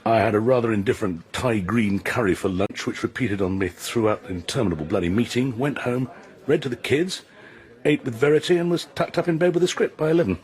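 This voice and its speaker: A man's voice: mid-range voice, brisk, a little mellow, natural voice, low-quality recording. An actor playing a tipsy, sardonic theatre man, blustering drily in a radio drama. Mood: dry, irritable. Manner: dry, blustering.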